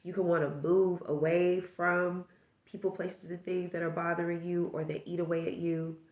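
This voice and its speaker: A woman's voice: fairly low pitch, flat delivery, normal speed, darkish tone, echoey room, lo-fi sound. A woman with a stuffed-up nose giving a casual tarot reading, musing aloud and rambling through possibilities. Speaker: tarot reader.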